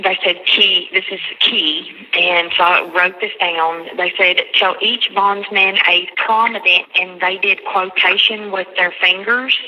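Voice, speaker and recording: A woman on a recorded jail phone call, recounting a conversation in a halting, repetitive way and quoting others at length. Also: on the low side, fairly even intonation, sharp tone. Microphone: telephone handset.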